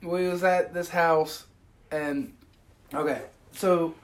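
A man's voice: a little high, fairly even intonation, slow. A man joking around in casual podcast chat and telling a meandering story. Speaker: podcast co-host.

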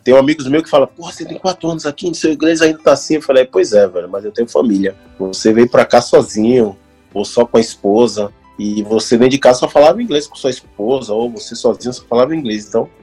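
A Brazilian man in his thirties telling stories in a casual chat, animated, laughing often and mimicking other voices. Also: medium pitch, fast talker, husky, soft background music. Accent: Brazilian Portuguese. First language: Portuguese. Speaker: actor working as an extra.

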